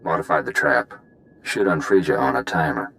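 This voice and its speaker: Very deep-voiced, practical prison-break technician. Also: male voice, expressive, moderate pace, vocal fry, a bit bright, smooth, full character voice. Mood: businesslike. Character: calm practical male prison-break technician, matter-of-fact. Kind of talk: video game voice acting.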